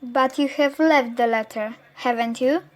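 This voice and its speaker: Schoolgirl playing a drifter on the run, high voice, protective and urgent, radio play. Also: a little slow. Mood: exasperated. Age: child or teenager.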